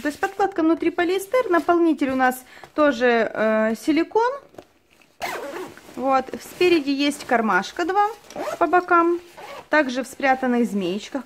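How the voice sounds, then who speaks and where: Woman host, high voice, chatty and detailed home product review. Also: a little hiss or hum. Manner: chatty, thorough, many fillers and diminutives. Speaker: channel host reviewing clothing. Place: indoors at a table, filming only her hands.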